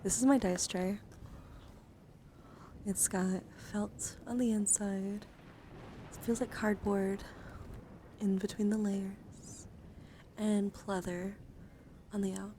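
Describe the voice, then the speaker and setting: A soft-spoken woman doing a casual ASMR live stream, often slipping into whispers and rambling informally, with playful asides. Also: mid-range voice, little pitch movement, takes it slowly, long pauses. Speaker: streamer, host of a live card-collection stream. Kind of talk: ASMR, casual live show-and-tell with chat. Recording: clear background noise and handling sounds.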